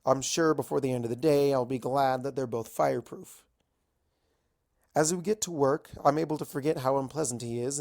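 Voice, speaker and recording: A man's voice: mid-range voice, creaky, darkish tone. A man reading a first-person story aloud as an amateur narrator. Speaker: amateur fan fiction reader.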